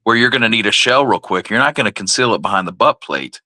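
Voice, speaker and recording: A man's voice: lowish voice, expressive, fast, pushed and bright, no room sound, clean studio sound. A man co-hosting a show, musing aloud and posing questions in casual, speculative discussion. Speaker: co-host of a radio history show.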